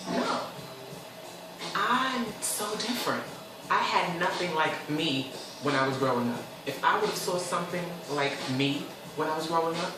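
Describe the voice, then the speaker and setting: A chatty man in his twenties hosts his own show and talks things through at length, now and then breaking into sudden high squeals. His voice sits at an ordinary male pitch. He is recorded a little away from the mic in a very echoey room. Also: recorded from a distance, noisy background. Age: 20s. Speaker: host. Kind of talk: casual solo talk show monologue.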